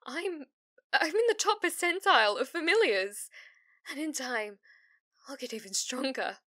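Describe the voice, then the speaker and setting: A woman playing a possessive, devoted fox-spirit familiar in an ASMR roleplay, speaking intimately and often slipping into breathy whispers. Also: high-pitched, a bit bright, dry recording, studio quality. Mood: jealous, pleading. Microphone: close studio microphone, dry.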